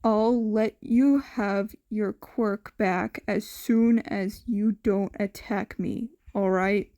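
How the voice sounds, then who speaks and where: A woman voicing a gruff, weary man who is reluctantly kind, in a fan fiction audio story. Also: mid-range voice, steady tone, slow pace, dark tone, dry recording, pitched below the performer's own voice. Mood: reassuring.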